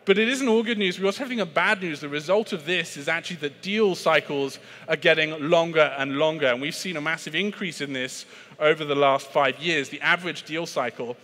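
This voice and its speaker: A man's voice: a little high, fairly quick, pushed and bright. A British man giving a conference keynote on sales in a chatty, self-deprecating way, with frequent fillers and restarts. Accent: British English. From Britain. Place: large conference hall. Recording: reverberant conference hall.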